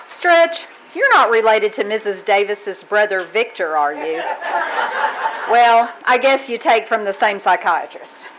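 A woman's voice: mid-range voice, expressive, a little slow, a bit bright, a faint crowd, a little hiss or hum, poor audio. A woman playing a dry, wisecracking schoolteacher in an old-time radio comedy performed live. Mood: dry, wry. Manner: dry, sardonic delivery.

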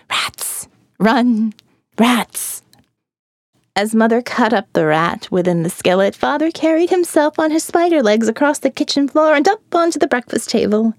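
A woman narrating a first-person horror audiobook, slow and hushed, often dropping to a whisper. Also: medium pitch, no room sound, clean studio sound.

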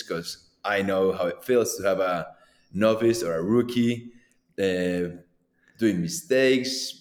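Colombian man with a low, roomy voice. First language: Spanish. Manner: animated, laughs often, loose filler-heavy delivery. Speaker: former pro downhill mountain bike racer, guest. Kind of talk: casual conversational interview. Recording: roomy echo.

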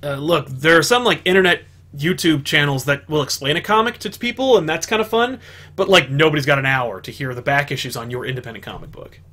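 A man's voice: fairly high pitch, fast talker, sharp tone. A man hosting a comics talk show in a loose, chatty way with lots of fillers. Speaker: show host. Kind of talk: casual chat.

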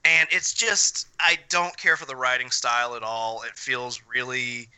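A man's voice: medium pitch, fairly even intonation, measured pace, bright, forceful tone, clear, resonant tone, no echo, poor audio. A man chatting casually with friends as a podcast host, speaking in an opinionated, blunt and sweary way.

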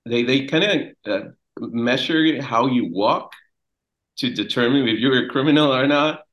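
A young man from Mexico talking casually as a podcast guest, halting and repeating words, trailing off quietly. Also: medium pitch, dull audio. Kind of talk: casual conversation.

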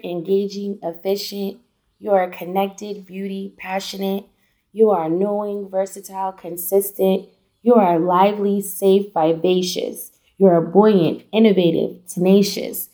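A woman poet reciting a spoken word blessing in deliberate, incantatory short phrases. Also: medium pitch, fairly even intonation, mellow.

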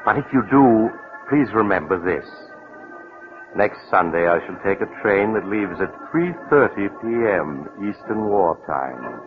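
Deep-voiced sinister radio storyteller. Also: male voice, lively intonation, unhurried. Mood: wry, macabre. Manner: sardonic, confiding. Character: sinister, wryly genial mysterious traveler, macabre storyteller. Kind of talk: 1940s radio horror host narration. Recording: muffled 1940s radio broadcast. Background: music.

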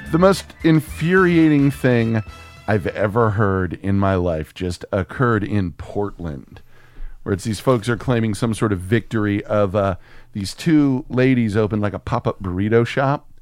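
A man's voice: lowish voice, animated, unhurried, no room sound, soft background music. An American man co-hosting a podcast, chatting casually with a sarcastic, ranting, sweary manner. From Texas, United States. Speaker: podcast co-host. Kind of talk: casual opinionated chat.

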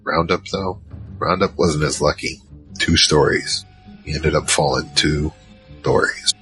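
An actor playing a huge, shaken survivor recounting a monster battle in a halting first-person audio drama narration. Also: male voice, fairly even intonation. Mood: tense, shaken. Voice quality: very low, heavy voice. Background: music.

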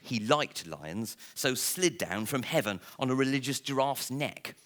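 Animated man reading a storybook aloud. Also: mid-range voice, slight background noise. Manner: theatrical, playful, with dramatic pauses. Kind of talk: expressive live storybook reading.

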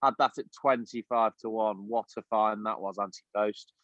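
A man's voice: lowish voice, fairly even intonation, normal speed, fairly bright tone, no echo. Guy on a podcast, rambly story with loads of ums, muffled dry mic. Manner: rambling anecdote-telling with many fillers. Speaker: podcast co-host. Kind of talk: casual chat.

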